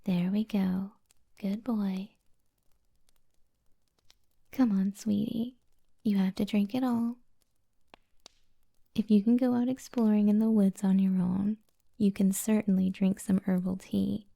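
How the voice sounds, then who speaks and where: Breathy whispering witch, played by a woman, soft and dark. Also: mid-range voice, little pitch movement. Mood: tender, teasing. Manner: slow, soothing, gently teasing. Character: kind, flirty, teasing witch healer of the woods. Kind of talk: ASMR roleplay, intimate and soft-spoken.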